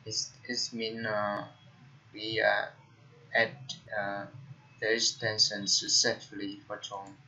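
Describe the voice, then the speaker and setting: A young man with a Vietnamese accent, a tech tutorial host talking casually through a screen recording, halting with frequent fillers and restarts. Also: on the low side, level tone, pushed and bright. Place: indoor room at a computer. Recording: dull lo-fi computer mic with faint noise.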